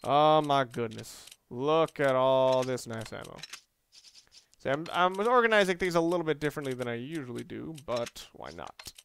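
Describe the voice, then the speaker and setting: A man's voice: medium pitch, expressive, normal speed, creaky, fairly bright tone, slight background noise. A man giving casual video game commentary, rambling along and thinking aloud as he plays. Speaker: let's play host.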